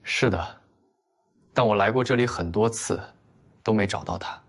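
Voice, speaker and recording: An actor playing cool, restrained, clever young men in a detective drama for an animated comic. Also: male voice, lowish voice, normal speed, slightly rough. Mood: serious.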